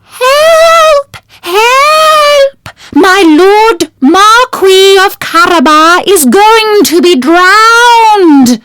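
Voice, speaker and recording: A woman's voice: very high-pitched, lively intonation, drawn-out words, pushed and bright, voice pushed up, heavily acted. A woman voicing a cunning, gallant male cat in a storybook tale, speaking in a formal, persuasive, courtly manner. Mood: loud, urgent.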